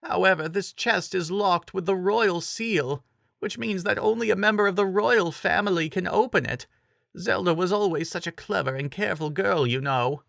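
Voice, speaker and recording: Man putting on a high, kindly old woman. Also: normal speed, vocal fry, fairly bright tone, no echo, clean studio sound. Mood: pleased. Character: kindly old woman, gentle and pleased mentor. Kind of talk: dramatic fantasy story reading.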